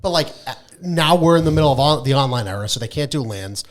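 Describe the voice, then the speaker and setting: A man's voice: medium pitch, expressive, fast talker. A man co-hosting a casual gaming podcast, talking informally and emphatically with plenty of swearing. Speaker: podcast co-host.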